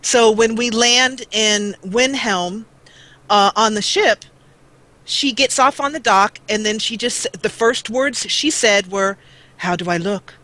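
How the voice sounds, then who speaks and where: A woman's voice: takes it slowly, sharp tone, low-quality recording. A woman talking casually as a guest on a show about gaming videos and role-playing. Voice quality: low-voiced. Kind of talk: casual chat.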